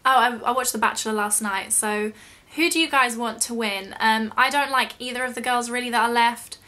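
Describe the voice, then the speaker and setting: A woman's voice: fairly high pitch, fairly even intonation, brisk, pushed and bright. A young British woman chatting casually in a question-and-answer vlog, rambling a little and self-deprecating. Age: young adult. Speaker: YouTube vlogger.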